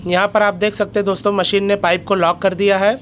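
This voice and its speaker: A man's voice: high-pitched, little pitch movement, fast talker, a bit bright, a little hiss or hum. A man hosting his own channel, walking through a factory and explaining each step, often calling out to viewers as friends. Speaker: channel host. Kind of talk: factory tour vlog narration.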